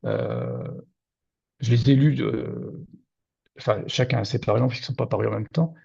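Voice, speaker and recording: Older man, native Platt speaker, from Moselle, dark husky voice, hesitant restarts, dull video call audio. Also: mid-range voice, moderate pace. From Moselle-Est, France. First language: Lorraine Franconian (Platt). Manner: hesitant, many restarts, animated. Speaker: author. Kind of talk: casual literary discussion.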